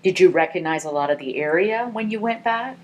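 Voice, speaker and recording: A woman conducting an oral history interview, asking gentle, encouraging questions. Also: on the low side, expressive, brisk, highs cut off.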